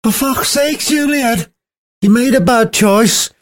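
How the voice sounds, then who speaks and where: A man's voice: very high-pitched, takes it slowly, voice pushed up. A man voicing a bossy, crude old woman nurse in a storybook retelling, snapping and threatening. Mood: snappish.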